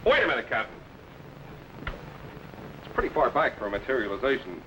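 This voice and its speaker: An actor playing a loyal, cautious sidekick assistant with a folksy manner. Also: male voice, medium pitch, big pitch swings, a little fast, a bit bright. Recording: distant noisy old radio recording.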